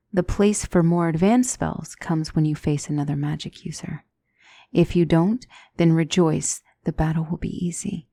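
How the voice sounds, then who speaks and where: A woman playing a gentle demonic tutor in a scripted ASMR roleplay, speaking softly and deliberately as a calm battle mentor. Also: lowish voice, vocal fry, no echo. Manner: measured, deliberate, soft-spoken, instructive.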